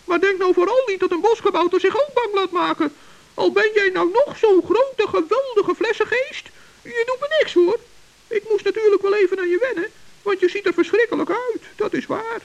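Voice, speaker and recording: A woman's voice: very high voice, normal speed, gravelly, lo-fi sound. A woman voicing a cheerful, brave male forest gnome in an old children's radio play, chatty and earnest. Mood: chirpy.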